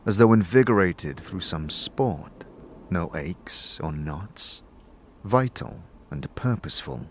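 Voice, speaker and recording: A man narrating a fantasy tale as game master, with suspenseful, deliberate dramatic delivery. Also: low-pitched, slight background noise. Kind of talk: dramatic second-person scripted narration.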